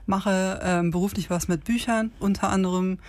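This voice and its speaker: A woman in her fifties co-hosting a casual podcast, telling a story in a lively way with fillers and short pauses. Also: mid-range voice, no echo. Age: 50s. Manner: animated retelling with many fillers. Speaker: podcast co-host.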